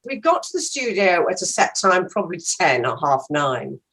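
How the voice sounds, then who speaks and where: A woman, an actress being interviewed, reminisces casually in a measured, precise and polite manner. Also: fairly low pitch, sing-song, fairly bright tone.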